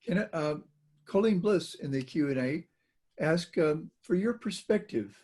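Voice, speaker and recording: An older man from the American South moderating a forum Q&A, relaying audience questions in a halting way. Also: on the high side, lively intonation, darkish tone, breathy edge. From Southern United States.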